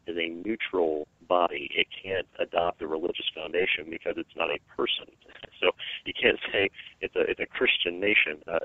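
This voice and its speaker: Man, very low and hoarse, radio phone-in guest, emphatic with restarts, thin phone line. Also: no room sound. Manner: earnest, emphatic, with frequent restarts. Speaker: radio show guest, evangelist. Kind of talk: call-in radio interview. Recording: narrow phone line, dry.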